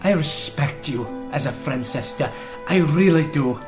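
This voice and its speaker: An actor playing a devout, hot-headed but warm priest-warrior with an in a fantasy audio drama. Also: male voice, fairly high pitch, lively intonation, measured pace. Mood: fed up, firm. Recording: music behind the voice.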